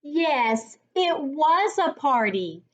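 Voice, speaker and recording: A woman reading a children's storybook aloud in an expressive, deliberate storytelling manner, as a reading coach would for young children. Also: high-pitched.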